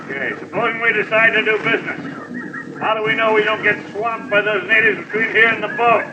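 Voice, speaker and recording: A man's voice: high-pitched, brisk, natural voice. An actor playing a tough, suspicious, menacing gunman with slangy speech in an old-time radio drama. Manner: slangy, menacing, barked from a distance. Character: tough, suspicious gun-toting villain, loud and menacing. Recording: distant, muffled, noisy old radio recording.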